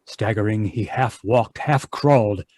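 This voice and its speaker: A man's voice: lowish voice, unhurried, darkish tone, no room sound. Dry-sounding male radio drama narrator. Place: home, live online video performance.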